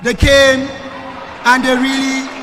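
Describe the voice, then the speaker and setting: A man's voice: extremely high pitch, monotone, slow pace. A man with a Ghanaian accent hosting a live quiz show as quiz master, announcing ceremoniously and repeating phrases. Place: large hall with audience noise behind. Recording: echoey hall, loud crowd noise.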